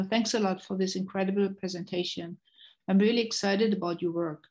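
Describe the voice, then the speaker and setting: A woman's voice: mid-range voice, a little mellow, rough recording. A woman moderating an online academic lecture, reading out questions and inviting participants to speak. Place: indoor room on video call. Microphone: video call.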